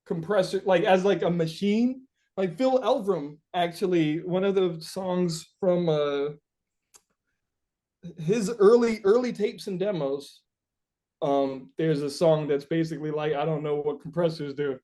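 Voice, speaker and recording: A man's voice: fairly high pitch, measured pace, mellow. A man being interviewed as a musician, chatting casually and reflectively, searching for his words with informal, sweary phrasing.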